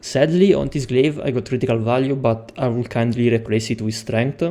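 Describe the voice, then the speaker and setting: A young man from Italy with an Italian accent, explaining a game build step by step as a tutorial maker, pausing often with fillers. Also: medium pitch, fairly even intonation, dark tone. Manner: explains step by step with frequent hesitations. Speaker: game guide creator. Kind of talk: gameplay tutorial.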